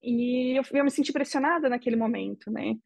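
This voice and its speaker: A Brazilian woman interviewee telling her personal story in a measured, reflective way, often ending phrases with a questioning tag. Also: fairly high pitch, creaky, darkish tone, no echo. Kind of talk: emotional personal testimony.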